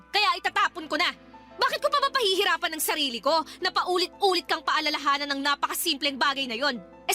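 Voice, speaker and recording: A woman's voice: very high-pitched, pushed and bright, full character voice. A woman playing an exasperated, nagging young wife and mother with a Filipino accent, in an emphatic radio drama style. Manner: scolding, pleading, emphatic rhetorical questions.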